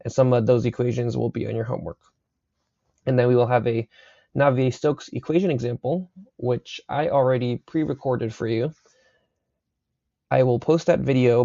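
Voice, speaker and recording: A young man recording a university engineering lecture late at night, his voice raspy, talking casually with many fillers and checks like "right?". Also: mid-range voice, steady tone, normal speed, warm tone, no echo. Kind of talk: recorded lecture over slides. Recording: slightly dull dry recording.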